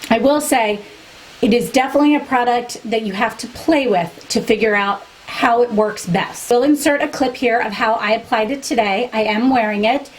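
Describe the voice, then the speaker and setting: A woman with a fairly high voice talks through a makeup review in a chatty, candid and opinionated way. She is losing her voice. A faint ambience sits behind her. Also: moderate pace, a little hiss or hum, rough recording. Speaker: beauty vlogger. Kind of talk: product review to camera.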